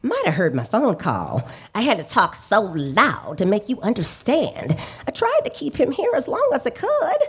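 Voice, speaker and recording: A woman's voice: mid-range voice, wildly swooping pitch, normal speed, hardly any pauses, husky, heavily acted. A woman playing a fussy, talkative landlady in a radio drama, needling and pert.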